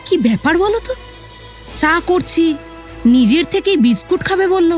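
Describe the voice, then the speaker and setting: Actress as worried young bride, very high voice, music behind, horror radio play. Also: female voice, animated, normal speed. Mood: puzzled. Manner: anxious, protesting. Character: frightened, questioning young newlywed wife. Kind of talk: horror audio drama acting. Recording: music under the voice.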